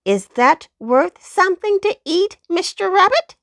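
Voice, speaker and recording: Storybook role: excitable little circus dog, very high, swooping delivery, performed by a woman. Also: a little slow, dry recording, clean studio sound, full character voice. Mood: proud. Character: small, friendly, eager male poodle, former circus performer.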